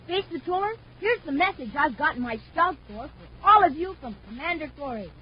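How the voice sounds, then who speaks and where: Muffled old radio, eager child, very high voice, 1950s commercial acting. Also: female voice, animated, fairly quick. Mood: eager, excited. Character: eager young child sidekick in a space-toy advert. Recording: muffled, noisy vintage radio recording.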